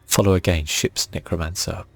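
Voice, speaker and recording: A man plays a game and comments softly in ASMR style. His voice is very low and full of fry. He thinks aloud in a relaxed way, with audible noise and ambience behind him. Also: normal speed. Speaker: gaming video host.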